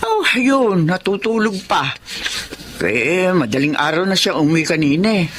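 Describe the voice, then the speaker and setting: A woman's voice: on the low side, expressive, moderate pace, slight background noise, lightly acted. A woman acting a worried, loving elderly mother who nags and pleads, in a Filipino radio drama. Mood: chatty, regretful.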